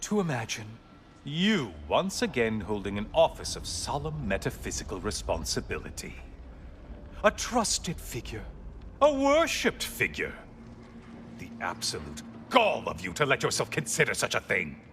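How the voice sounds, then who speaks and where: Wildly swooping, gleefully cruel taunter. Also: male voice, medium pitch, huge pitch swings, normal speed, fairly bright tone, slight background noise. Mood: mocking, scornful. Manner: sarcastic, taunting, theatrical. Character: gleefully cruel, mocking, theatrical tormentor. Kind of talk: video game cutscene acting.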